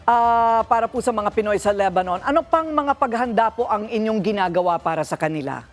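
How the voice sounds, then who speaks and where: A woman's voice: mid-range voice, expressive, fairly quick. A Filipino woman working as a news anchor, politely putting questions to a guest in a broadcast interview.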